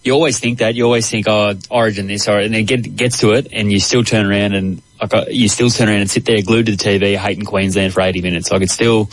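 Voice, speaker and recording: A man's voice: on the low side, steady tone, fast, hardly any pauses, poor audio. A middle-aged man with an Australian accent, co-hosting a casual sports chat on the radio.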